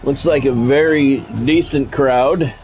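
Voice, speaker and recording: A man's voice: medium pitch, steady tone, slow pace, darkish tone, faint music behind. A man in a mid-range voice talks through a sports video game like a play-by-play announcer at ease. He is conversational and often thinks aloud. The sound is a little dull and lo-fi. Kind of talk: casual live gaming commentary with sportscast touches.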